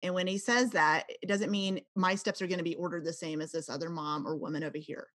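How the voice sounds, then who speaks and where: A woman's voice: on the low side, fairly even intonation, fast talker, fairly bright tone, no echo, studio quality. A woman in her thirties, co-hosting a podcast, speaking candidly and reflectively in casual faith-based chat. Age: 30s. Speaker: podcast co-host.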